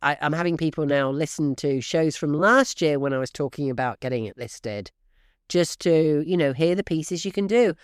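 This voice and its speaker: A middle-aged woman hosting a real estate podcast, talking straight to listeners in a brisk, emphatic coaching manner, her voice stuffy and snuffly from allergies. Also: low-pitched, smooth, no room sound, clean studio sound. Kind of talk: direct-to-listener podcast advice.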